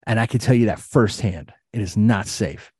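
A man's voice: on the low side, normal speed, darkish tone, breathy edge, no echo, studio quality. A middle-aged American man giving an impassioned personal account, blunt and emphatic, repeating phrases and swearing. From Ohio, United States.

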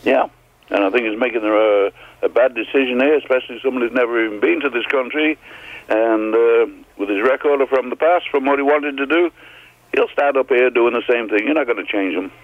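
A man's voice: mid-range voice, a little fast, dry recording. An older man with a foreign accent calling a radio phone-in show, plainspoken and skeptical. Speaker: caller. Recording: tinny phone line.

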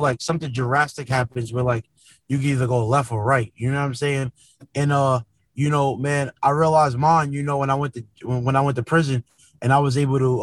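A man's voice: medium pitch, no room sound. Male rapper as podcast guest, mid-range voice, dry close sound, earnest and casual. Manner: earnest, repeats tags like "man" and "you know". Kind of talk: casual interview chat.

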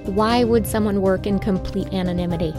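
A woman acts as an opinionated expert being interviewed in a mock-documentary audio drama. She makes emphatic, clipped pronouncements at a middling pitch, with faint music behind. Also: fairly even intonation, a little fast, natural voice. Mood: puzzled. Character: opinionated, emphatic woman pundit on an obscure artist.

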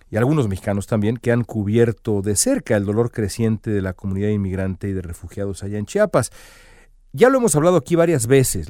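A man with a fairly low voice delivers scripted news commentary, earnest and emphatic, pressing on key phrases. The sound is dry and close, with no room around him. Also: a little mellow, no room sound.

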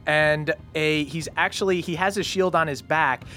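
A man's voice: on the high side, normal speed, pushed and bright. A man acting as game master, narrating a tabletop game casually in an informal, slangy way.

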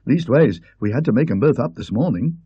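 A man's voice: mid-range voice, animated, brisk, mellow, husky, no echo, pitched above the performer's own voice. A man voicing a deferential young hotel maid who speaks in humble, ungrammatical servant's talk. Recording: dull lo-fi recording.

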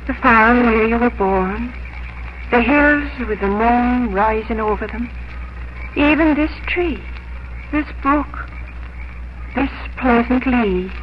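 An actress playing a gentle, dignified young schoolteacher in a 1950s American radio drama, speaking earnestly and formally. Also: female voice, fairly high pitch, lively intonation. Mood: tender, earnest. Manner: earnest, measured, formal phrasing. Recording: muffled, noisy old radio recording.

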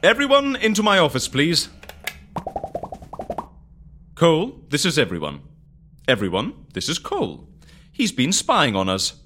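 An actor playing an eccentric, playful man in charge in an audio comedy drama, animated and whimsical. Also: fairly high pitch, slight background noise, exaggerated voice. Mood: coolly commanding. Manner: animated, whimsical, quick to switch tone.

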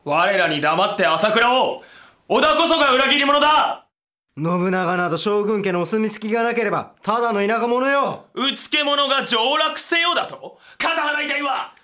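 A man playing an indignant, hot-tempered samurai retainer in a Japanese period audio drama, loud and scornful. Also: very high voice, animated, normal speed, heavily acted. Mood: outraged, scornful. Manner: loud, scornful warrior speech.

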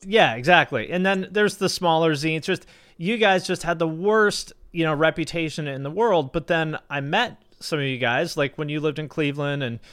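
Guy hosting a podcast, slightly high voice, chatty and informal, says like and you know a lot. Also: moderate pace, fairly bright tone, smooth. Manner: conversational, frequent "like" and "you know". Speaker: podcast host. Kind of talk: casual interview chat and sponsor read.

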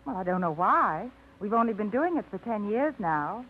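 A woman's voice: mid-range voice, sing-song, normal speed, slight background noise, close to an everyday voice. An actress playing a wry, independent young woman tired of routine, with a dry wit, in a 1950s radio drama. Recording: tinny old radio broadcast.

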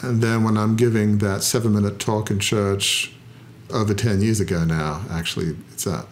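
A middle-aged man talking in an interview, reflective and self-deprecating, often pausing with "uh" as he thinks. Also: fairly low pitch, fairly even intonation, a little hiss or hum. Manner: thoughtful, self-deprecating, frequent "uh" pauses. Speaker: founder and interview guest.

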